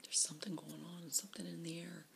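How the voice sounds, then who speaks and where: A woman whispering into her camera for a personal video diary, halting and repeating her words. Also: on the low side, level tone, creaky, fairly bright tone, a little hiss or hum. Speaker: vlogger. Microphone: camera facing her.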